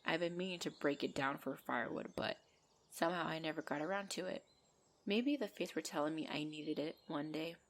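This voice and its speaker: Woman playing a low-voiced, bossy female centaur. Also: a little fast. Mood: softer, reassuring. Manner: bantering, commanding, dryly exasperated. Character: possessive female centaur, bossy, teasing, half-gentle captor. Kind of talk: ASMR roleplay acting.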